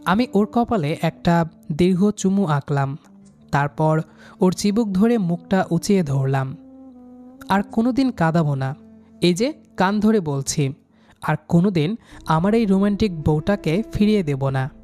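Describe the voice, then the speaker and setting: A man's voice: high voice, animated, a little slow, pauses often, darkish tone. Man narrating an emotional romantic story. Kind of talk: dramatic first-person romantic story narration. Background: background music.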